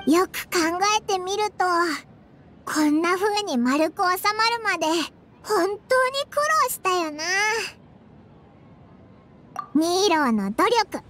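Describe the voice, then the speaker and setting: A woman voicing a small, chatty, childlike fairy companion with squeaky, boyish casual speech in a Japanese game dub. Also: fairly bright tone, a little hiss or hum, heavily acted. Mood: cheerful musing.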